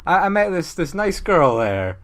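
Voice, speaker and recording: A young man co-hosting a casual comedy podcast, chatting and telling stories in a halting, giggly way. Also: a little high, big pitch swings. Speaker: podcast co-host.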